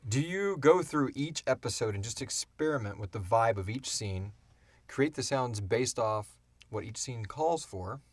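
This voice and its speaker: A man in his thirties hosting a casual chat about making an audio show, animated and informal as he tells stories and answers questions. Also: mid-range voice, a little slow, no echo. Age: 30s. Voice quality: wheezy breathing. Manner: animated storyteller, acts out sounds and swears casually. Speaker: podcast host and audio drama creator.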